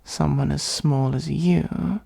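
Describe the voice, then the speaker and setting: A performer of unclear sex voicing an ancient, haughty dragon in a comedic fantasy ASMR roleplay, with a breathy, husky, gravelly voice and a sardonic, condescending, musing manner. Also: medium pitch, steady tone, slow, warm tone, full character voice. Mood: haughty, bargaining. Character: ancient gold-hoarding dragon, haughty, dryly exasperated.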